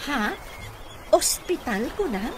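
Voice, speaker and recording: High-voiced kindly caregiver woman. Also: very animated, takes it slowly, heavily acted. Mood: friendly, chatty. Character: kind, concerned young female caregiver. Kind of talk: Ilocano radio comedy-drama acting. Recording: noisy radio drama mix.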